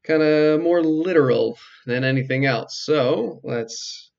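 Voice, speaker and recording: A man's voice: on the high side, takes it slowly, vocal fry. A man giving casual let's play commentary as the host of a video game playthrough. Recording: slightly muffled sound, music behind. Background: game music.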